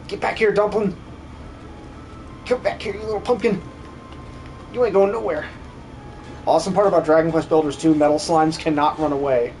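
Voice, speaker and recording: A man's voice: a little high, fairly even intonation, normal speed, long pauses, a little mellow, faint music behind. A man giving goofy, playful commentary on a game stream in a sing-song way. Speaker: streamer and amateur voice actor.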